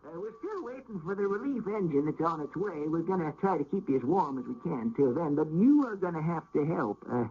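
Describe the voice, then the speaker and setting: Folksy gravelly train crewman, high-pitched. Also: lively intonation. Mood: concerned, flustered. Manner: halting, rustic speech. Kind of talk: radio drama acting. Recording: dull old radio broadcast.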